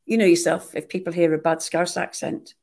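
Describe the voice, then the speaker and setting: A woman, an actress chatting in an interview and telling lively, self-mocking stories. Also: fairly low pitch, brisk. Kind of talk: conversational interview.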